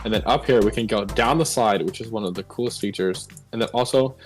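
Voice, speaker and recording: A man with a lowish voice casually walks listeners through a game build, relaxed and joking with plenty of fillers. Light music plays faintly behind him. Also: fast. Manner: relaxed, joking tour-guide talk with fillers. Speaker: co-host touring a game build. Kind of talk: casual game tour chat.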